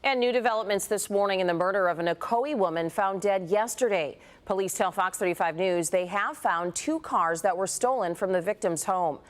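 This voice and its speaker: A woman anchoring a local morning TV newscast, reading stories clearly and steadily. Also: medium pitch, fairly quick, hardly any pauses. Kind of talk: scripted news reading.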